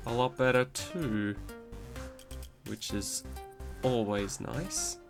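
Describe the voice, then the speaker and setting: Laid-back gaming host, congested and nasal, mid-range voice, music bed behind. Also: male voice, mid-range voice. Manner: rambling, laid-back, swears casually. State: recovering from a cold, stuffy nose. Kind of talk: casual commentary over gameplay.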